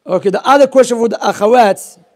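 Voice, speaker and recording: A man's voice: very high-pitched, quick pace, darkish tone. A young man giving an informal Islamic lesson, telling stories and asking quiz questions in non-native English sprinkled with Arabic terms. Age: young adult. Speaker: religious teacher. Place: small indoor library room. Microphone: gooseneck microphone close to the mouth.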